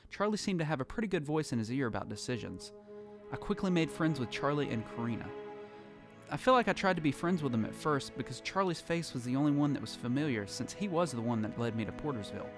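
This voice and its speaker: Male actor as haunted survivor narrating. Mid-pitched, reflective, somber, clear music underneath. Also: natural voice. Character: lonely, haunted male survivor telling his story. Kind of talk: scripted first-person audio drama narration.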